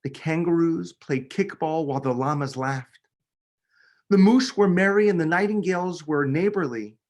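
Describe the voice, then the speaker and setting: A man, a children's book author, reading a picture book aloud with expressive, dramatic emphasis. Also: a little high, normal speed. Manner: expressive, dramatic delivery with emphasis on key words.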